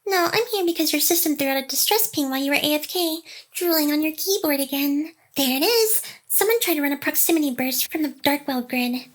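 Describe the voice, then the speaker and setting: A woman's voice: high voice, animated, brisk, few pauses. A woman playing a playful AI hacker girl in an intimate ASMR roleplay, teasing and mock-aloof while secretly smitten and protective of the listener. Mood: teasing, feigned indignation. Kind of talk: ASMR roleplay, intimate one-sided scripted drama.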